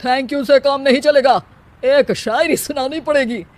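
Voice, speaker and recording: An authoritative older father-in-law acted by a woman in a moral-story cartoon. He sounds firm and teasing, in a high voice. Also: measured pace, a little mellow. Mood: approving, teasing.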